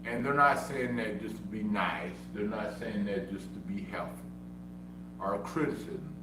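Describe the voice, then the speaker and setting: Man who stutters, slow deliberate delivery, echoey room, distant mic with a steady hum. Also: on the low side. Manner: repetitive, insistent, self-defending. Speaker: streamer. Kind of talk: casual livestream monologue. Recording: echoey room, far from mic, humming noise.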